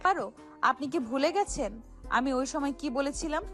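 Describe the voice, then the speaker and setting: A woman's voice: high-pitched, moderate pace, fairly bright tone, faint music behind. A woman playing a wronged young wife, firm, defiant and confrontational, in an audio romance drama.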